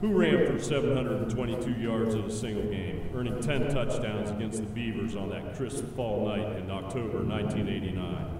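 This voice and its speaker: A man playing a hulking former high school football star in his forties who has hidden in the school vents for years, speaking grandly and theatrically. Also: normal speed, hardly any pauses, reverberant, natural voice. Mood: grandiose. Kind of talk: community radio drama. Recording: audible background noise.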